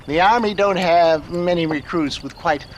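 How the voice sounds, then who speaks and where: A man's voice: on the high side, normal speed, slight background noise, natural voice, poor audio. An actor playing a flamboyant, wily old huckster salesman in an Old West video game, florid and hammy in his delivery. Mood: evasive, jovial. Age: older. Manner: florid, hammy, hesitant with frequent chuckles. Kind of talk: theatrical video game character acting.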